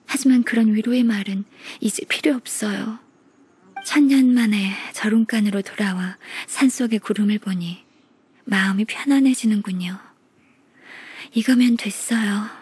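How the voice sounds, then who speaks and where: An actress playing a gentle, formal, melancholy half-immortal young secretary in a game, speaking softly with polite, measured resignation. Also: female voice, medium pitch. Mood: resigned, wistful. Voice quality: very breathy, soft. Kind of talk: Korean game voice acting. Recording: music behind the voice.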